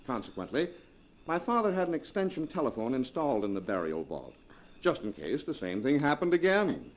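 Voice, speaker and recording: A man's voice: mid-range voice, big pitch swings, a little fast, close to an everyday voice. A man acting a suave, sardonic husband from a sinister family in a 1940s radio drama, theatrical and mockingly casual. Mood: sardonic, amused.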